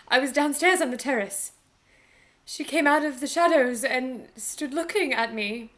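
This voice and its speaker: Woman playing a high-voiced, tormented young heroine. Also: fairly bright tone. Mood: haunted. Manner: anguished, tremulous, melodramatic. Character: tormented young woman falling under a vampire's spell. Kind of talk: radio play acting.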